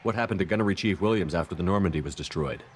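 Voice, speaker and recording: Man acting brisk, deep-voiced commander. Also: a little hiss or hum, close to an everyday voice. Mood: businesslike. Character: resolute, businesslike male military starship commander. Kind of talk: video game dialogue.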